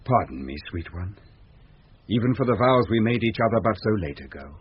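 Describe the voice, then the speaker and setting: An actor with a British accent playing an ardent young gentleman, speaking emotional Shakespearean verse in a radio drama. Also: on the low side, lively intonation, brisk, a little hiss or hum, lightly acted. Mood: apologetic, tender. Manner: emotional, declamatory verse delivery. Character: young gentleman, ardent twin brother thought drowned. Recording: muffled old radio broadcast recording.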